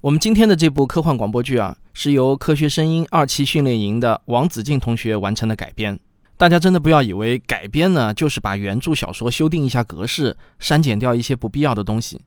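A man's voice: mid-range voice, expressive, brisk, no echo, studio quality. A man hosting a programme, talking to listeners in a conversational, explanatory way. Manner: conversational, explanatory, rhetorical questions. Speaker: host and producer. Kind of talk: chatty programme outro addressed to listeners.

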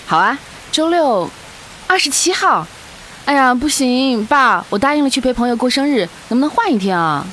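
An actress in a radio drama playing a lovestruck young student with a coy, pleading manner. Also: female voice, high voice, very animated, moderate pace, fairly bright tone. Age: young adult. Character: lovestruck, coaxing young student, devoted daughter and girlfriend. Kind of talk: Chinese radio drama acting. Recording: faint hiss, rain-like noise.

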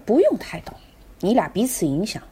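A woman's voice: medium pitch, huge pitch swings, moderate pace, mellow, husky, exaggerated voice. A woman acting a mysterious, bargaining fortune-teller in a comic radio play, speaking in terse, cryptic pronouncements. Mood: cryptic, calm.

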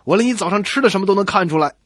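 A man's voice: high voice, lively intonation, racing, a bit bright, dry recording, studio quality, voice pushed up. Man playing an exasperated, emphatic schoolteacher. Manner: emphatic, questioning.

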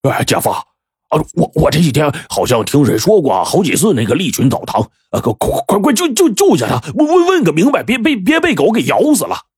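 A man's voice: on the high side, wildly swooping pitch, fairly quick, darkish tone, husky, no room sound, clean studio sound, voice pushed up, full character voice. A man doing a stammering, flustered dim-witted sidekick. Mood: anxious pleading. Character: stuttering dim-witted young male sidekick.

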